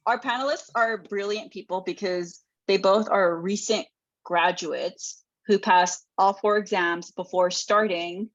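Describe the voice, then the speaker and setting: Dull, close and dry call audio carries a woman's medium-pitched voice. She hosts a panel with an informal, effusive, chatty manner. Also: fairly even intonation, measured pace, no echo. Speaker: panel host. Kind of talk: casual chat.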